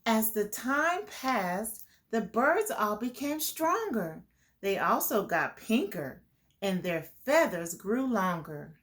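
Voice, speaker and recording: A woman's voice: mid-range voice, big pitch swings, takes it slowly, a bit bright. A woman hosting a children's storytime, reading a picture book aloud and explaining it to young listeners. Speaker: children's storytime host and reader.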